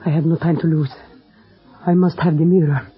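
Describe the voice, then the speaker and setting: An actress playing a proud, scorned opera diva in old radio melodrama, with an imperious, theatrical delivery. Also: female voice, sits low, fairly even intonation, fairly quick, slightly rough. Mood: urgent, vengeful. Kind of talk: 1940s radio melodrama acting. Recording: dull vintage radio recording, faint music.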